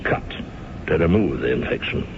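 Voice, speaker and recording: A deep-voiced fanatic plotter. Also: male voice, slight background noise. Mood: ruthless calm. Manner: calm, measured, detached. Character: cold fanatic conspirator, calm and ruthless. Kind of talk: 1970s radio drama acting. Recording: muffled radio broadcast with long reverb.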